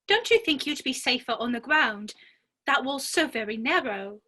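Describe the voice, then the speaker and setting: A woman's voice: high voice, lively intonation, moderate pace, bright, forceful tone, heavily acted. A woman playing a polite, earnest, curious little girl in a dramatic reading of a children's classic.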